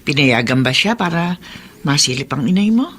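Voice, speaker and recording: A man's voice: fairly high pitch, expressive, moderate pace, fairly bright tone. A man with a Filipino accent playing a concerned, pleading older neighbour in a radio drama.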